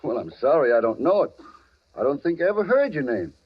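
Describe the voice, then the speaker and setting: A man playing a friendly, forgetful older shopkeeper in a 1940s radio drama, folksy and halting as he chats. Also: medium pitch, sing-song, husky. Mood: puzzled, eager. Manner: folksy, chatty, halting as he remembers. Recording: dull old radio recording.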